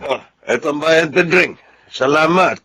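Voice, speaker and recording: A man's voice: fairly high pitch, a little slow, creaky, sharp tone, slight background noise, heavily acted. An actor playing a modest, plain-spoken Filipino father of about fifty in a radio drama, reluctant and protesting. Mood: guarded. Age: 50s.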